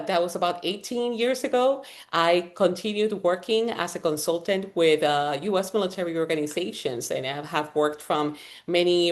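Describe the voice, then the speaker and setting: A woman in her forties with a Puerto Rican accent and a notably low, deep voice. She is a native Spanish speaker chatting as an interview guest, often circling back with "uh" and restarting her sentences. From Puerto Rico. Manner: rambling with many fillers and restarts. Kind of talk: conversational interview.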